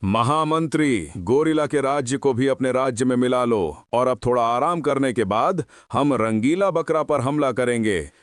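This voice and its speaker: A man's voice: medium pitch, moderate pace, no echo, clean studio sound, natural voice. A man voicing a power-hungry lion king in a cartoon moral story, imperious and declamatory as he boasts and gives orders. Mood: commanding. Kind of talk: animated moral story dialogue.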